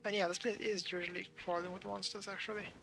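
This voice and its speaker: A game streamer giving casual live commentary, thinking aloud in halting fragments over game music. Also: medium pitch, flat delivery, vocal fry, fairly bright tone.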